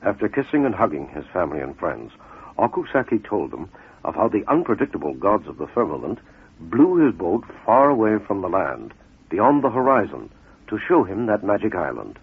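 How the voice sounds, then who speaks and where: A man narrating a fable as a scripted dramatic storyteller, in measured, deliberate phrases with pauses for effect, on a muffled radio recording. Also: lowish voice, sing-song, slightly rough.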